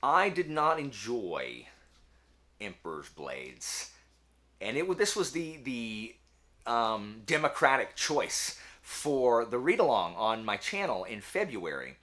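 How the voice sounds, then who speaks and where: Guy doing a casual book video, super animated up and down, bright emphatic voice, pokes fun at himself. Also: medium pitch, slow pace. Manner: animated, self-deprecating, emphatic. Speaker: book review channel host. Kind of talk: casual talk to camera, answering book tag questions.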